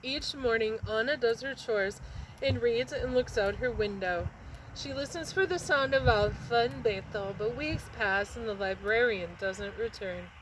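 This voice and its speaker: A woman narrating a children's picture book expressively, with dramatic pauses and emphasis on words. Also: fairly high pitch, a little hiss or hum, rough recording. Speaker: read-aloud storyteller.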